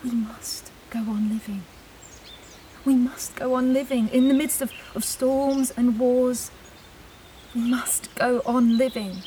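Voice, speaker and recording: A woman's voice: a little high, fairly even intonation, slow pace, dark tone, slight background noise. A woman acting in an audio drama as an anguished, intense character facing loss, speaking dramatically. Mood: grieving, resolute. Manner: dramatic, urgent.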